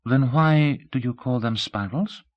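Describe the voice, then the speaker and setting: A male narrator voicing a candid, shrewd young woman in her early twenties who speaks softly and asks pointed questions in an audiobook. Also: mid-range voice, a little slow, muffled recording, pitched above the performer's own voice, close to an everyday voice. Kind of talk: audiobook character voice.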